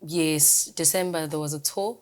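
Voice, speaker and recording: South African gospel singer, low voice. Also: female voice, normal speed, a little mellow. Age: young adult. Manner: switches between Zulu and English mid-sentence; earnest, grateful, talks about faith. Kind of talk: casual interview answers.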